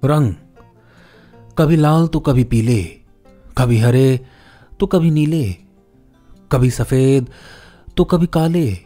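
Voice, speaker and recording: Guy narrating a story all dramatic n measured, dark soft tone, music underneath. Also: medium pitch. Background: background music.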